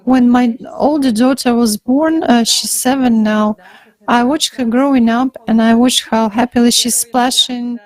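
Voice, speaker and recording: A woman giving a live, conversational spoken interpretation in halting phrases. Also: on the high side, fairly even intonation, darkish tone, low-quality recording. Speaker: interpreter voicing a forum speaker.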